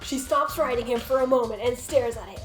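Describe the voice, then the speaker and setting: A woman's voice: a little high, brisk. A woman casually narrating an amateur comedic reading, slipping in joking commentary. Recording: roomy echo, loud music behind.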